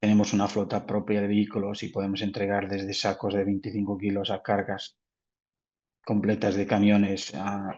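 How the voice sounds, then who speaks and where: Brief: low Portuguese-accented man, careful webinar presenter, muffled lo-fi audio. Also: darkish tone. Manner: careful, with frequent restarts. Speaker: product manager presenting. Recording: dull lo-fi audio.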